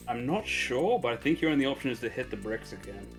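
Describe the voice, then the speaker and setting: A man giving casual commentary on a video game, rambling and trailing off softly. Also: medium pitch, very animated, fast, faint music behind. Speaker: let's play co-commentator.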